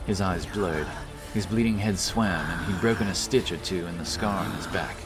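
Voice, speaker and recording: Deep voiced guy narrating fantasy action, dramatic read, cinematic music behind him. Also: normal speed.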